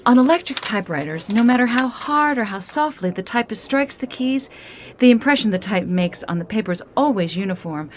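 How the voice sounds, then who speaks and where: A woman's voice: fairly high pitch, lively intonation, brisk, a little hiss or hum, natural voice. A woman playing a polite, efficient bookkeeper and loyal boss's wife in a radio drama, courteous and precise. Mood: courteous, composed.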